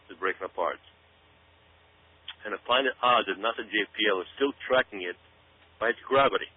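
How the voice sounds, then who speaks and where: A man's voice: on the low side, fairly quick. A man giving urgent, emphatic conspiracy commentary on a talk show, repeating phrases and asking rhetorical questions. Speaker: guest commentator. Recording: tinny phone-like radio audio.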